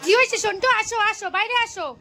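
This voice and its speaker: A woman's voice: very high-pitched, rapid-fire, bright, forceful tone, a faint crowd. A woman chatting spontaneously in a vlog, playful and cooing, repeating short phrases. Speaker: vlogger filming daily life.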